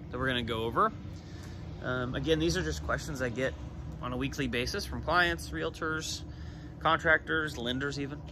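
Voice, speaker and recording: A man in his thirties, a renovation loan consultant, explaining a question casually and matter-of-factly to a phone camera held at arm's length outside a brick building. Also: medium pitch. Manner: plain-spoken, matter-of-fact. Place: outdoors by a brick building on a street. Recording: outdoor street noise behind.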